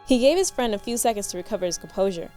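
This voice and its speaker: A woman's voice: mid-range voice, animated, very fast, mellow, soft background music. A woman reading literary narration for a radio play in a formal storytelling manner.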